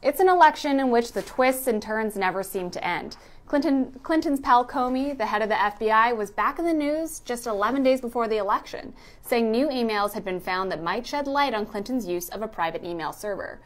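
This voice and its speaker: A woman's voice: a little high, expressive, brisk. A woman reading scripted narration for a news explainer.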